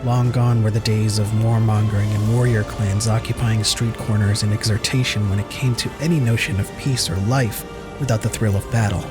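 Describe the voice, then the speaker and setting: A man's voice: lowish voice, fairly even intonation, normal speed, over music. A man reading scripted dramatic narration as the narrator of an audio story.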